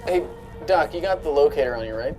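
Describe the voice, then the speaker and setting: A woman's voice: deep voice, lively intonation, fast, darkish tone. A woman playing a tabletop role-playing game, casually in character and directing the others, with music behind her. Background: background music.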